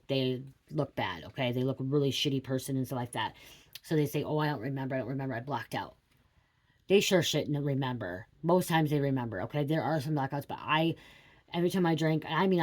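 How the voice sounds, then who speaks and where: A woman's voice: low voice, a little mellow. A middle-aged woman talking casually and confessionally, blunt and sweary, repeating phrases for emphasis. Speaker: host giving a spiritual reading.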